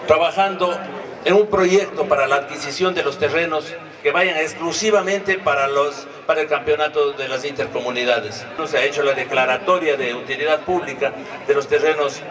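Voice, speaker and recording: Ecuadorian guy doing a formal speech on a mic outside, kinda halting, big crowd noise, bit distant. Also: fairly high pitch. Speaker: deputy mayor. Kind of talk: public opening speech. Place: outdoor sports ground under a canopy. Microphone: handheld microphone.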